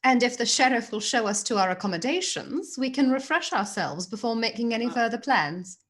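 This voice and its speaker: A woman's voice: a little high, expressive, brisk, natural voice. An actress playing a haughty, scheming princess in a radio play, mocking and silky in her charm. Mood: sly.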